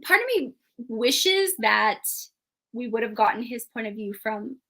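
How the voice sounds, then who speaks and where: Bright, higher-pitched woman chatting casually. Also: animated, unhurried. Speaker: book review show co-host. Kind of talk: casual chat about books.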